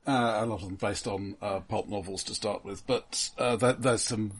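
A man co-hosting a casual film discussion, explaining his points in a halting, measured way. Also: on the low side, a little hiss or hum. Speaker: film podcast co-host.

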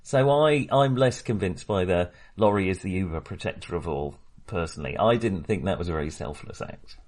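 Male podcast co-host, lowish voice, informal film talk with a halting, hesitant delivery. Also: darkish tone. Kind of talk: casual film discussion.

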